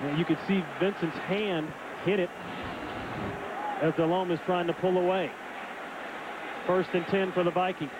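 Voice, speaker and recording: Male play-by-play caller, high voice, booth mic over a roaring stadium crowd. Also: steady tone, muffled recording. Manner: brisk, calls plays as they happen. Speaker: play-by-play announcer. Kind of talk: live football play-by-play. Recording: broadcast booth over loud crowd noise.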